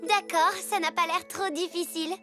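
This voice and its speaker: A chirpy little girl zebra from a children's cartoon. She sounds friendly and curious, pitched extremely high, with clear music in the background. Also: steady tone, moderate pace. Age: child character. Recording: music behind the voice.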